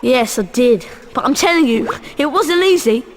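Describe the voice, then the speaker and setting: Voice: sits high, expressive, moderate pace. An actor playing a plucky, doubtful schoolboy wizard sidekick in a video game, grumbling and plain-spoken. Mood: reluctant, uneasy.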